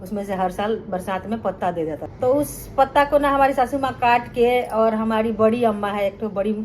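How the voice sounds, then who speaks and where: A woman's voice: on the high side, moderate pace, a little mellow, a little hiss or hum, poor audio. A middle-aged woman from Bihar, telling her life story to viewers in a chatty vlog and often calling them friends. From Bihar, India. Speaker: cooking channel host.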